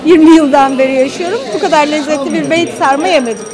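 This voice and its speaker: A woman's voice: sits high, fast, crowd noise. A middle-aged woman, a restaurant guest, answering questions in a friendly, approving way. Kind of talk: interview answers. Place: busy restaurant. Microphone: handheld mic. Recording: noisy restaurant with music.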